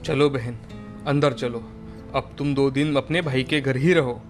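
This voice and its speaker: A man's voice: medium pitch, fairly even intonation, measured pace, faint music behind, natural voice. A man voicing a poor, earnest and humble village father in a cartoon story. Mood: humble, grateful. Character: poor, worried, grateful village father and brother.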